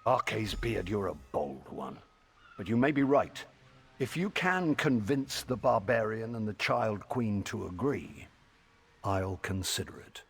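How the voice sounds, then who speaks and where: An actor playing a proud, suspicious human king in a fantasy game, speaking in a measured, regal and disdainful manner. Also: on the low side, very animated, gravelly, exaggerated voice. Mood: grudging. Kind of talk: fantasy game dialogue acting.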